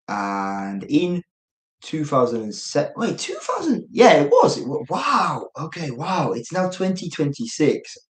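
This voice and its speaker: A man's voice: fairly high pitch, a bit bright, echoey room, poor audio. A man with an English accent chatting casually and unscripted as he hosts a live stream, rambling and thinking aloud. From England. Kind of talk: casual unscripted self-introduction to viewers.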